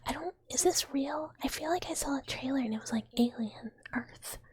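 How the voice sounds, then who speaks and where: A woman's voice: high-pitched, fast, a little mellow, no echo, clean studio sound. A woman streamer whispering casual, giggly, rambling chat to her viewers. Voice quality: whispering, very breathy. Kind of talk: whispered ASMR chat while reading viewer comments.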